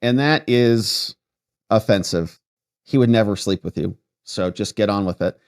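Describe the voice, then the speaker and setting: A man's voice: fairly low pitch, unhurried, gravelly, a little mellow. An American man hosting political commentary, reading articles aloud and breaking in with sarcastic, mocking asides.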